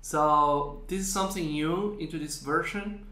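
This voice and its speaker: A man with a fairly high voice walks through a software tutorial at an unhurried pace, pausing on fillers as he explains. His voice sits in an echoey room, recorded for a screen demo. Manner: slow, explanatory, with frequent fillers.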